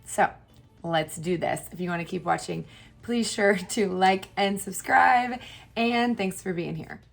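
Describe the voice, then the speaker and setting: A woman with a hoarse, partly lost voice, chatting casually and laughing at herself while doing her makeup on camera. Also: medium pitch, unhurried, faint music behind. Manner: chatty, self-deprecating, laughs often. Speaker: beauty YouTuber. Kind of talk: casual get-ready-with-me chat.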